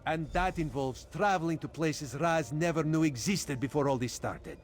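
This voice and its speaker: A man's voice: fairly high pitch, moderate pace, soft background music, close to an everyday voice. An actor playing a wry, roguish cat-man agent in a fantasy video game, with a droll, theatrical manner. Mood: wry, musing. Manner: third-person speech, droll, theatrical.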